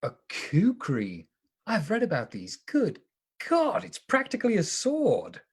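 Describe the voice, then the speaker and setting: A man acting a bookish gentleman vampire hunter in a radio drama. His husky voice moves widely in pitch, sounding earnest and concerned. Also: wildly swooping pitch, a little slow, exaggerated voice. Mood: amazed.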